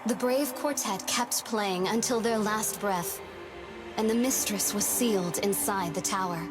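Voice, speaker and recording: A woman's voice: mid-range voice, measured pace, natural voice, low-quality recording. A woman reading scripted narration of an old legend in a storybook manner for a game. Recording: music behind the voice. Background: music.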